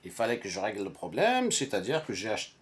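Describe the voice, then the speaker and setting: A man whose first language is Arabic, telling a personal story casually in a halting, repetitive and emphatic way. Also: fairly low pitch, huge pitch swings. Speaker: storyteller recounting a personal anecdote to friends.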